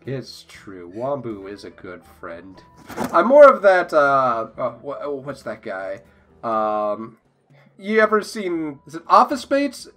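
A man's voice: mid-range voice, wildly swooping pitch, slow, fairly bright tone, soft background music. A young man chatting casually as a podcast guest, joking and giggly as he draws. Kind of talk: casual chat while drawing.

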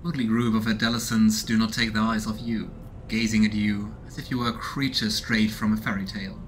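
A man's voice: on the low side, little pitch movement, brisk, slight background noise, rough recording. A man in his thirties doing casual let's play commentary and reading game text aloud as he goes. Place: small room indoors at home. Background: game music.

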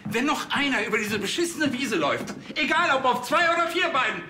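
A man's voice: very high voice, lively intonation, brisk, echoey room, background noise. A man playing an exasperated, sarcastic, short-fused police chief in a TV comedy, stammering as he goes. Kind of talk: TV comedy acting.

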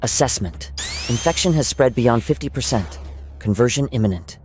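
A man playing a stern protective combat robot, coldly logical, with clipped mechanical deadpan delivery in a comic dub. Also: mid-range voice, moderate pace, breathy edge. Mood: cold, threatening. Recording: music behind the voice.

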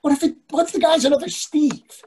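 A man's voice: very high voice, steady tone, brisk, a little mellow. An older man playing an impatient, grumbling husband, blunt and exasperated, in a comedy play read over a video call. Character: impatient, grumbling husband craving beer and food. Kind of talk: readers theatre comedy acting. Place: indoor room on a video call. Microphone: video call microphone.

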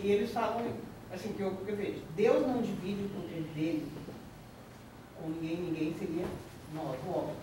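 An older woman in the audience speaks up earnestly, hesitating and circling back with filler words as she makes her point. She is picked up from a distant camera in a very echoey room. A clear hiss runs underneath her. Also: fairly low pitch. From Brazil. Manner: hesitant, full of "tipo assim", laughs nervously. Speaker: audience member. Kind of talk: earnest, rambling comment. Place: small room in a spiritist center.

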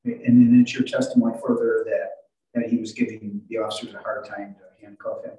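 An older man working as a defense attorney, questioning in court in a halting way and repeating his words. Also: fairly low pitch, level tone, mellow. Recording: very echoey courtroom, distant microphone.

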